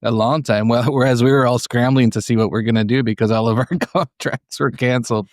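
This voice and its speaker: A man's voice: medium pitch, fairly even intonation, brisk, no echo, clean studio sound. A man chatting casually as an interview host. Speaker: podcast host.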